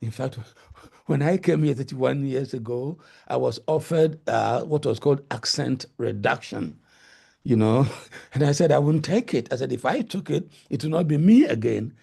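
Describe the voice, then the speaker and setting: A middle-aged Ghanaian-accented man answers questions on a video call, his voice deeply gravelly and husky. He speaks hesitantly, with many fillers and restarts. The tone is dark and mellow. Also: lively intonation, moderate pace. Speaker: guest lecturer.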